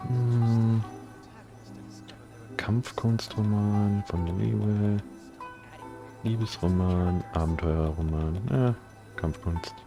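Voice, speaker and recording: A man's voice: on the low side, fairly even intonation, slow, long pauses, music in the background. A man livestreaming a game, reading its text aloud in an offhand manner. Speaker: game streamer.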